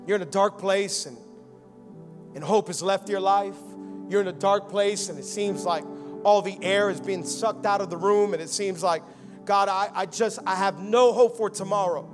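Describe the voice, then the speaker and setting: A young man from Miami preaching a Christmas sermon, moving between rousing calls and quiet personal talk. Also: sits high. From United States (Miami). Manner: rousing preaching, swinging from loud and fervent to quiet and intimate. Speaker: pastor.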